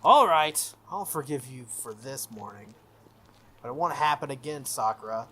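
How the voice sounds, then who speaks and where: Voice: mid-range voice, expressive, normal speed, pushed and bright, breathy edge. A game player voicing an arrogant, petty teenage schoolboy with a haughty, threatening manner.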